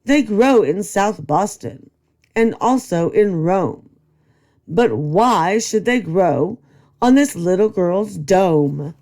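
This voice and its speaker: A woman's voice: mid-range voice, expressive, takes it slowly, a little mellow. A woman voicing a fussy, bossy male school principal in a read-aloud storybook, declaiming in rhyme. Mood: puzzled.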